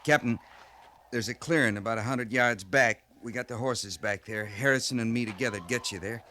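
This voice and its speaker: An actor playing a loyal, plain-spoken frontier sergeant with an American accent and a folksy, respectful manner in a 1950s radio western. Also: male voice, medium pitch, fairly quick, pushed and bright, close to an everyday voice. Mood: concerned, wry. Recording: noisy vintage 1950s radio recording.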